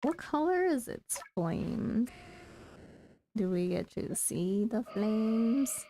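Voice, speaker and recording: A woman's voice: fairly high pitch, sing-song, takes it slowly, warm tone, slight background noise. A woman giving casual livestream commentary on a video game, muttering to herself as she plays.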